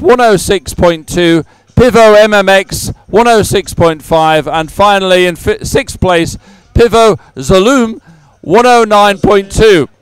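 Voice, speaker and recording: A man's voice: high voice, clean tone, dry recording, low-quality recording. A man working as a roving sports reporter, interviewing and announcing in a chatty, informal manner. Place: outdoors beside a race course.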